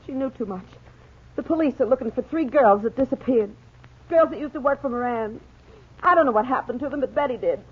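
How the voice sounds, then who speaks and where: An actress playing a frantic, slangy gangster's girlfriend in a shrill, accusing manner in a 1940s radio crime drama. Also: a little fast, husky. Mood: bitter accusing. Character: frantic red-headed gangster's girlfriend, a brassy moll. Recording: tinny, narrow 1940s radio recording.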